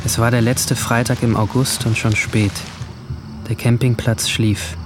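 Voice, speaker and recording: A man playing a brooding, alienated seventeen-year-old who narrates his guilt in a hushed, introspective radio drama style. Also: mid-range voice, flat delivery, measured pace, music in the background, natural voice. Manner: hushed, introspective, at times whispering.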